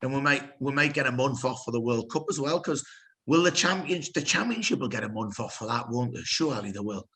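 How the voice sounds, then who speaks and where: Bloke hosting, middle pitch, rambly and sweary. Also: male voice, animated, fairly quick, fairly bright tone. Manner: rambling, sweary, laughs often. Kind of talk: casual chat among fans.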